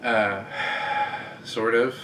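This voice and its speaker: A man's voice: medium pitch, steady tone, extremely slow, fairly bright tone, slight background noise, poor audio. A man in his late thirties chatting casually to camera from indoors, rambling and joking at his own expense. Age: 30s. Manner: chatty, rambling and self-deprecating. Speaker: vlogger. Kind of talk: casual direct-to-camera chat. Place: indoors, sitting against a bed frame.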